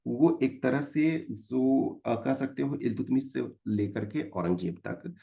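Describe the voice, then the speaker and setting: A man's voice: mid-range voice, slow, vocal fry, mellow, dull audio. A man with an Indian accent giving an explanatory exam-prep lecture, mixing Hindi and English and repeating key points didactically. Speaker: exam-prep history teacher.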